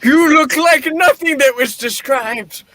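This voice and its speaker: A frightened old miser from a comic radio play, acted out by a man. The voice is high and sharp, pleading and flustered, and it takes its time, repeating itself theatrically. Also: measured pace, full character voice. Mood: startled.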